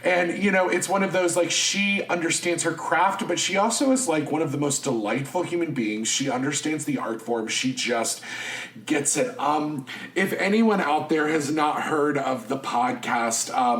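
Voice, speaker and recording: A man's voice: fairly high pitch, normal speed, fairly bright tone, roomy sound. A man co-hosting a podcast, chatting casually and enthusiastically with lots of fillers. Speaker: podcast co-host. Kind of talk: casual chat.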